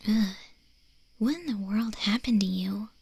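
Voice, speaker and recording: A woman playing a friendly, curious young wolf girl in an ASMR roleplay, speaking softly and gently in a breathy, hesitant near-whisper. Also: medium pitch, unhurried, no echo, exaggerated voice. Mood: curious, puzzled. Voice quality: soft, breathy, mostly whispered.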